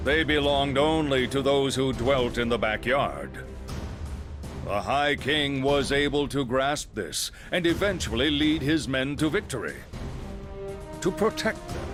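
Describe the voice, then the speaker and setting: Man playing a calm, professorial scientist over music. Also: on the high side, natural voice. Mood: measured, instructive. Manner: measured, professorial, formal, unhurried explaining. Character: calm, erudite male scientist lecturing on grave theories. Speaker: character in a video game story scene. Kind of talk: dramatic game voice acting, expository lecturing.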